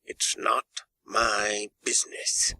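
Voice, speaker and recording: A man performs a miserly old businessman from a storybook, cold and scornful. His delivery is curt and sneering, with a bright, forceful edge in a very dry recording. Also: lowish voice, completely flat delivery, slow, no room sound, full character voice. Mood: cold dismissive. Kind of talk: audiobook character dialogue.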